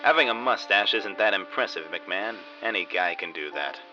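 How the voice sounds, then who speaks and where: An actor playing a blunt, exasperated senior detective scolding a partner in a parody noir radio drama. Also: male voice, low voice, expressive, normal speed. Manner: scolding, blunt, mock-tough. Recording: dull lo-fi audio under very loud music.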